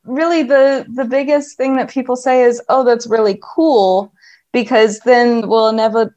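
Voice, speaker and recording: A woman's voice: fairly high pitch, a little mellow, dry recording, muffled recording. Woman talking in long flowing lists. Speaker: guest, language business owner. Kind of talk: conversational interview.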